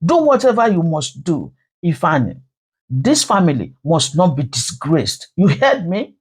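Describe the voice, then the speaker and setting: Narrator as a stern, scheming older matriarch, animated. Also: medium pitch, unhurried, breathy edge. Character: cold scheming older village matriarch, commanding her son.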